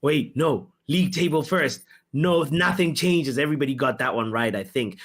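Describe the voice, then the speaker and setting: Young man, quiz host, excitable, hype announcing, slightly high voice. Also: moderate pace. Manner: excitable, laughing often, hype-style announcing. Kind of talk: casual quiz chat with friends.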